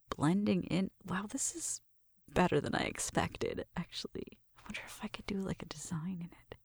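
Breathy, whispery flirty roommate played by a woman. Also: lowish voice, dry recording, clean studio sound, full character voice. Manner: soft whispering mixed with murmured talk, shy and teasing. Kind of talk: ASMR roleplay, intimate one-sided conversation.

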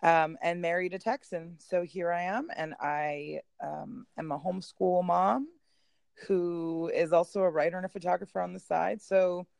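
A woman's voice: fairly low pitch, steady tone, normal speed, gravelly, darkish tone, dry recording. A Canadian-accented woman guest on a podcast, born in Poland and raised in Canada, talking casually with easy laughs. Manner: chatty, laughs easily, self-deprecating. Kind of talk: casual conversational chat. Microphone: remote call.

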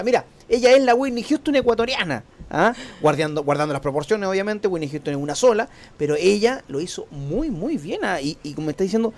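Male TV host, casual interview; animated, playful, very expressive intonation. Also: high-pitched. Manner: lively, chatty, joking.

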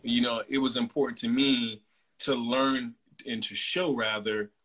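A man in his forties hosts a relaxed conversation and talks at an easy, rambling pace full of fillers. His voice is bright and forward, sitting at a middle pitch. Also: a little slow, husky. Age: 40s. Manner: relaxed and chatty, many fillers. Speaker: host. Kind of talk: casual interview chat.

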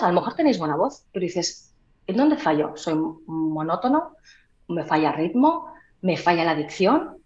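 A woman's voice: medium pitch, darkish tone. A woman from Huelva, giving emphatic, conversational advice as an interview guest who trains voices. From Huelva, Andalusia, Spain. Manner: emphatic, didactic, checks in with listeners. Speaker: guest, voice trainer and speech expert. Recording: dull, roomy, echoey sound.

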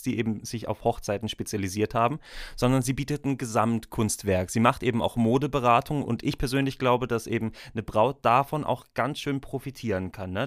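A man's voice: mid-range voice, no echo, clean studio sound. A man hosting a casual interview, speaking informally and directly to his guest and listeners. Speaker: podcast host, interviewer.

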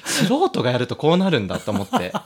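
A man's voice: mid-range voice, huge pitch swings, quick pace. A man co-hosting a podcast in casual, playful banter with blunt, teasing retorts. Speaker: podcast co-host.

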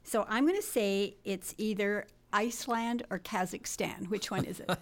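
A woman's voice: mid-range voice, fairly even intonation, moderate pace. A woman hosting a radio interview, playful and teasing, joking with her guest. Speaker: radio host.

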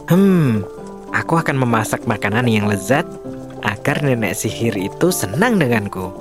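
A man's voice: medium pitch, sing-song, moderate pace, music in the background, close to an everyday voice. A man playing a clever, polite young captive who tells stories earnestly in a children's storybook drama. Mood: scheming, thoughtful.

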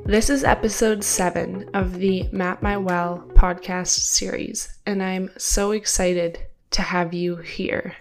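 A woman's voice: medium pitch, fairly even intonation, slow, hardly any pauses, faint music behind. A young woman with a stuffed-up, congested voice, hosting her own podcast in a chatty, upbeat way and laughing now and then. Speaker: podcast host. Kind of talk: casual solo podcast talk.

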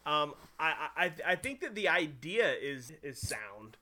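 A man's voice: on the high side, big pitch swings, unhurried, pushed and bright. A man hosting a casual film chat, steering the talk with many restarts. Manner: hesitant, many restarts and fillers while guiding the talk. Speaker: podcast host steering the discussion.

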